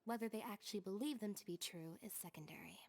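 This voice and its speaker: An actress playing a calm, reserved young woman speaking flatly and quietly in video game dialogue. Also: medium pitch, fast talker, slight background noise, lightly acted.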